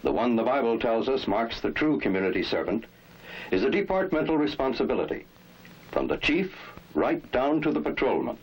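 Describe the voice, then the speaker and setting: A man's voice: medium pitch, very animated, moderate pace, a little hiss or hum, poor audio. A man narrating a 1960s instructional film in an emphatic, authoritative, rhetorical manner. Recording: old 1960s film soundtrack, loud music. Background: loud music.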